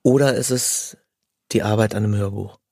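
A man's voice: on the low side, steady tone, moderate pace, warm tone, slightly rough, no echo, studio quality. A man hosting an interview in a casual, conversational way. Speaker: interviewer, host.

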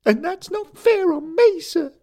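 Shrill, furious schoolboy ghost. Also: male voice, animated, measured pace. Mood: furious whining. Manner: shrill, shouting, ends every sentence with "sir". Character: furious 13-year-old boy ghost, sulky.

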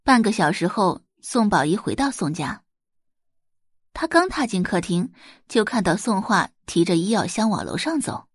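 Woman reading a serial story, lots of pitch movement, slightly husky. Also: moderate pace, no room sound, clean studio sound. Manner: expressive storytelling. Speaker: narrator. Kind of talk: audiobook drama narration.

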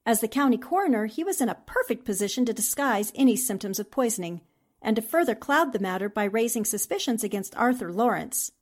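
A plucky newspaperwoman from a 1940s radio mystery, played by an actress. Her voice sits fairly high. She speaks earnestly and dramatically, with plenty of determination. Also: lively intonation, fairly quick. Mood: explaining.

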